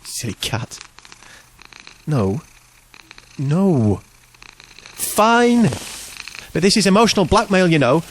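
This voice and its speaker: A high, very animated young male voice, insecure and awkward. He mutters and grumbles with self-doubt in a naturalistic comic audio drama, over faint noise. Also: takes it slowly, pauses often, poor audio. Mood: exasperated.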